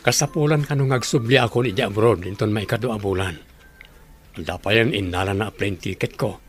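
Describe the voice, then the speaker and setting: A man's voice: on the low side, normal speed, soft background music, close to an everyday voice. A man acting an obliging, responsible middle-aged father in a radio drama, talking matter-of-factly.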